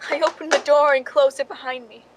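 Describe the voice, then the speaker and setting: Casting: actress as scheming jealous woman, high voice, confessional suspense narration for radio drama, slightly noisy recording. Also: steady tone, brisk, natural voice. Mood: tense, urgent.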